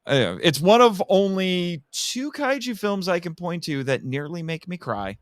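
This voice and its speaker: A man's voice: high-pitched, sing-song, moderate pace, no room sound, clean studio sound. A man chatting casually about films as a podcast guest, opinionated and effusive, fond of superlatives. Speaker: podcast guest, kaiju film commentator. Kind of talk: casual film discussion.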